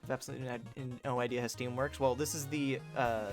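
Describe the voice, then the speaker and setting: A man's voice: mid-range voice, level tone, fast, over music. A man chatting casually as he streams a game, joking and reading game text aloud. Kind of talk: casual livestream chat and game commentary.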